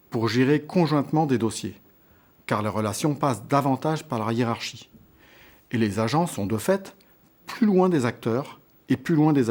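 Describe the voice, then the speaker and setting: A man reading a scripted instructional presentation in a measured, structured way, setting out points in short phrases. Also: mid-range voice, dark tone. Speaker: lecturer presenting on local governance.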